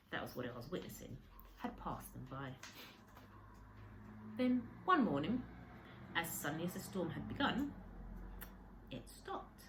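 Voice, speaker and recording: A woman with a low voice reads a children's story aloud in the expressive way of a primary teacher. She leans dramatically on the descriptive words. There is clear background noise on the amateur recording. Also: poor audio.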